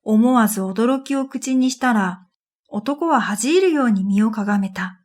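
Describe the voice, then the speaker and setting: A woman reading a scripted first-person story in a reflective, measured way, as narrator of an audio drama. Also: a little high, a little mellow. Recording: background music under the voice. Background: music.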